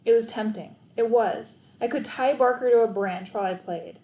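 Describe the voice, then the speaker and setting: A woman's voice: a little high, normal speed, dark tone. Woman reading a story aloud, roomy echo. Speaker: read-aloud narrator. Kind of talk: expressive children's audiobook narration, first person.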